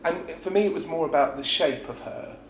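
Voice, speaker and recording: A man's voice: a little high, a little fast. A man with a British accent talking informally and reflectively in an interview, often letting a thought trail off. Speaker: pantomime dame performer. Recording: roomy echo with background noise.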